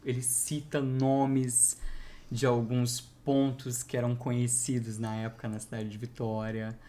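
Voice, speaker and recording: A man in a casual book discussion, speaking in a measured way and repeating words as he builds his point. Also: mid-range voice, monotone, warm tone. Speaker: co-reviewer.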